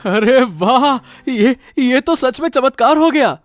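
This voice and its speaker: A man voices a greedy little cartoon jackal in a fable. He is excitable and high-pitched, exclaiming in repeated bursts, recorded dry with music underneath. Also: slow pace, dry recording, exaggerated voice. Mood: amazed agreement. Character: excitable, greedy male jackal in donkey's body. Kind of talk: Hindi animated moral story acting.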